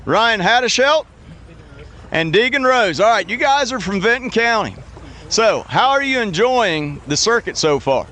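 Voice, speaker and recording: A man hosting a youth fishing tournament weigh-in outdoors at a lake, announcing loudly in an easygoing, joking way. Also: high voice, very animated, measured pace, slight background noise. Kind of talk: live event announcing.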